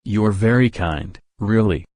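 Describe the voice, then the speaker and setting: An actor playing a kindly, twinkling old Santa Claus in a 1940s radio drama, speaking gently with coaxing, hesitant pauses. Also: male voice, lowish voice, no room sound, studio quality. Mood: friendly, coaxing. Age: older.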